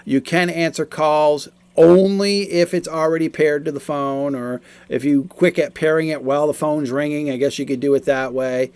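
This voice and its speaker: A man in his forties giving a casual, explanatory product review, talking in a halting, repetitive way. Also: fairly high pitch, fairly even intonation, a little mellow, smooth, no room sound.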